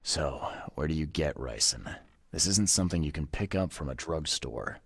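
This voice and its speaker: An actor playing a gruff, wry, world-weary private investigator in a video game, speaking laconically in a husky voice. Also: male voice, animated, normal speed, no echo, clean studio sound, full character voice. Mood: probing. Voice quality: husky, gravelly low voice. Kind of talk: video game dialogue acting.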